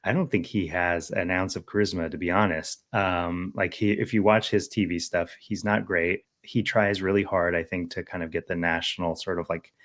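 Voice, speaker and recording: A man's voice: low voice, steady tone, moderate pace, few pauses, a bit bright. An American man co-hosting a podcast in casual political discussion, thinking aloud and often checking for agreement. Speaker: podcast co-host.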